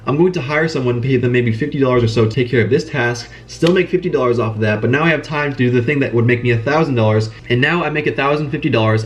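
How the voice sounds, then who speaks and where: A young man with a cold, sounding nasal, giving casual advice straight to the camera in an earnest, repetitive way. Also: mid-range voice. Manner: brisk, earnest, repeats phrases for emphasis. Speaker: channel host giving business advice.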